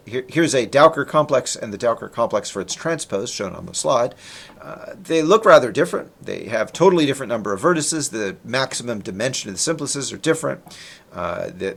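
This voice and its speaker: A man lecturing on technical material in an informal, conversational way, posing questions and then answering them himself. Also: medium pitch. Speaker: lecturer, researcher presenting.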